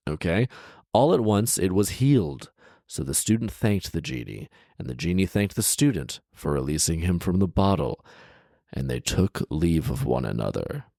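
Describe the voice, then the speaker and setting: Male story podcast host, low register, dry close recording, loose sarcastic ad-libs around the reading. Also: creaky, darkish tone, no room sound. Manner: informal, sarcastic asides. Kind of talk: story reading with comedic ad-libbed commentary.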